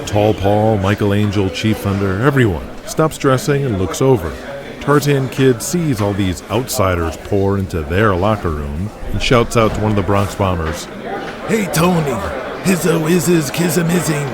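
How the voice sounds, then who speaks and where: A man's voice: lowish voice, moderate pace, crowd noise. A man reading scripted dramatic narration in the present tense, setting scenes like a storyteller. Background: music under the voice.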